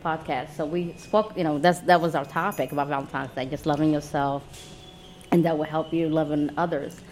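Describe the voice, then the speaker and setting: A woman wedding planner as a podcast guest, bubbly and laughing, with a low voice. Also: steady tone, brisk, a little mellow, slight background noise. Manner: bubbly, laughing, swooping pitch. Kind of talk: casual interview chat.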